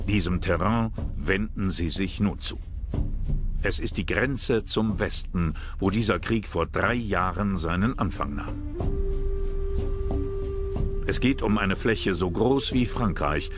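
A man with a low voice narrates a scripted history documentary. His delivery is measured and serious. Music plays clearly behind him. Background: background music.

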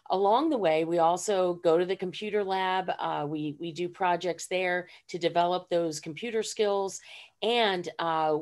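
A woman's voice: on the low side, a little mellow. A woman teaching ESL who describes her classes in an online presentation, hesitating with frequent fillers. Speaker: ESL instructor. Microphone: video call.

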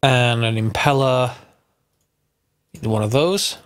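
A man's voice: mid-range voice, slow. A man with a stuffy, bunged-up voice from a cold, casually narrating a game mod tutorial, thinking aloud and correcting himself as he goes. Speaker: Minecraft mod tutorial host.

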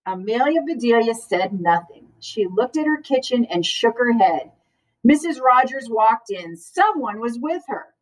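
A middle-aged woman reading aloud at children's story time in an expressive, teacherly manner. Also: fairly high pitch, a little slow. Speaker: school librarian reading aloud. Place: school library, indoors. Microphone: far camera. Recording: distant camera microphone.

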